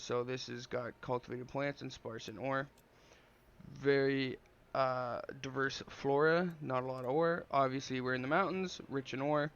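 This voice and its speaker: A man with a slight cold giving relaxed, rambling gameplay commentary over a strategy game, full of ums and uhs. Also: mid-range voice, clean tone. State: has a slight cold, sniffling. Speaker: gameplay video creator. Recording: dull lo-fi audio.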